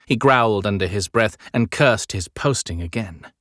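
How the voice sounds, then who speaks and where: A man's voice: lowish voice, normal speed, fairly bright tone, no room sound. Man narrating an audiobook, very dry. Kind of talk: scripted dramatic narration.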